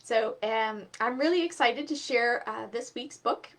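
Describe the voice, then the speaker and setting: High-voiced woman hosting children's storytime. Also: unhurried, a bit bright. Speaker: storytime host and reader. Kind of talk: live children's storytime reading.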